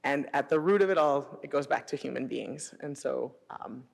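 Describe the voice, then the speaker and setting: A middle-aged woman with an American accent giving an informal presentation, telling personal anecdotes in an animated way and acting out quoted dialogue. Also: very deep voice, normal speed, creaky. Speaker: city sustainability director presenting. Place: presentation hall before an audience. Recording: echoey hall, long reverb.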